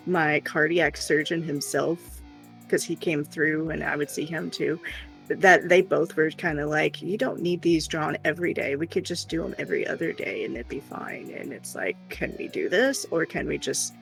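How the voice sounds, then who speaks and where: A person chatting casually and telling personal stories in an animated way, often acting out what people said. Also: mid-range voice, normal speed, gravelly, soft background music, low-quality recording. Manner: animated, acts out quoted remarks. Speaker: live stream host. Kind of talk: casual chat, personal storytelling.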